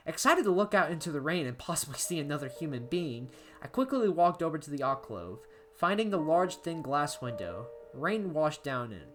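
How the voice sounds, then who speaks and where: A woman playing an anxious, isolated diarist in a horror story, reading in a halting, emotive way with music behind her. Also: low voice, very animated. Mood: uneasy, restless. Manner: halting, emotive, with stammered repeats. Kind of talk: dramatic first-person horror story narration. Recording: background music under voice.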